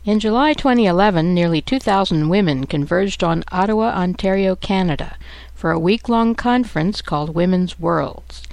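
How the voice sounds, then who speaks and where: A woman radio host reading a scripted introduction calmly and asking interview questions. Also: on the low side, moderate pace, vocal fry, no echo.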